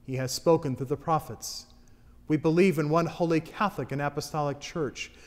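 A man leads a congregation through a creed, reciting each line in a steady liturgical cadence. His voice sits a little high. Long church reverb trails behind his words. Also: moderate pace. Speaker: worship leader.

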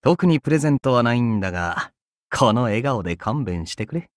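Male actor for young game archer: roguish, wry, teasing slacker, casual drawl, animated intonation, dry studio sound. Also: mid-range voice, a little fast, no echo, natural voice. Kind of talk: Japanese mobile game character voice lines.

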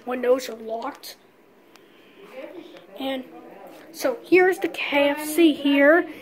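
A woman's voice: high-pitched, extremely slow, a crowd in the background, poor audio. A woman filming a casual walk-through vlog outside a closed restaurant, rambling and often shrugging things off with "well, whatever.". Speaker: vlogger exploring an abandoned building. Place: outdoors around a closed restaurant building.

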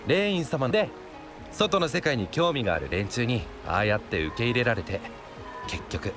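A man performs a composed game storyteller with a mid-range voice and highly varied intonation. He sounds casual and knowing, over clear background music. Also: animated, moderate pace, lightly acted. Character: composed, knowing male storyteller of local legends. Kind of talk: Japanese video game voice acting. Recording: loud music behind the voice.

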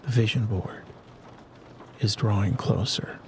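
Slow, soothing low-voiced man guiding sleep hypnosis. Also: fairly even intonation, background noise. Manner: soothing, unhurried, with long pauses. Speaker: sleep hypnosis narrator and host. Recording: rain and thunderstorm sounds behind the voice. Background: rain and distant thunder ambience.

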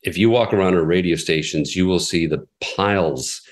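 A middle-aged American man, a radio executive, answering interview questions in a measured, explanatory way with frequent fillers. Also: low voice. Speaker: classical radio station president, guest.

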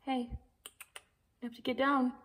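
A woman's voice: high-pitched, little pitch movement, measured pace. A young woman talking casually into her phone camera, rambling about her day with frequent ums. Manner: chatty, rambling, lots of ums. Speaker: vlogger. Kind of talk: casual day-in-the-life vlog. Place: inside a parked car, then a home kitchen. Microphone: phone camera at arm's length.

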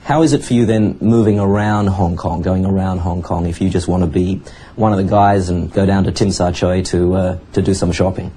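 A man reporting in measured, journalistic narration and asking interview questions. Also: low voice, steady tone, dark tone, a little hiss or hum.

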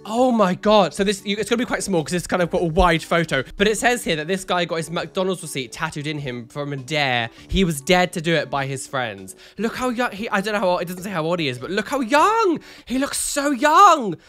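A man's voice: high voice, fairly quick, hardly any pauses, soft background music. A man in his thirties, congested and nasal from a cold, giving camp, chatty reaction commentary from a home studio at a close mic. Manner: animated, sassy, dramatic exclamations. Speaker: YouTuber reacting to photos. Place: home studio room with neon lights. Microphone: studio mic on a boom arm, close.

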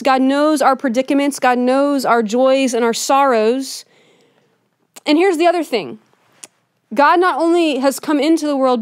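A woman pastor giving a Christmas sermon in a church, conversational and earnest, often softening her points with "kind of.". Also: high-pitched, no room sound, studio quality. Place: church sanctuary with a Christmas tree.

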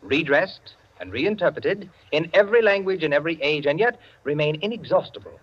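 Pompous, swooping high-voiced radio clubman. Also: lively intonation, fairly quick, breathy edge. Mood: pontificating. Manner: pompous, fussy, hesitant asides. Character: genial, talkative clubman holding forth on storytelling. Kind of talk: 1940s radio drama acting. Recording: dull, noisy 1940s radio recording.

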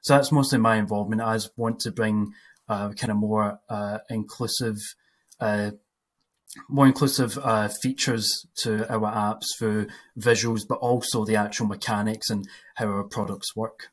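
Scottish man with a flat, low voice. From west coast of Scotland. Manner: halting, many "uh" pauses. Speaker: panelist, 3D animator. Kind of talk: panel discussion on video call. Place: home room. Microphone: headset.

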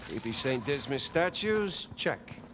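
A man's voice: medium pitch, big pitch swings, a little slow, background noise. A man playing a sardonic, eager American treasure hunter, acted naturally for a video game. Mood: excited, wry.

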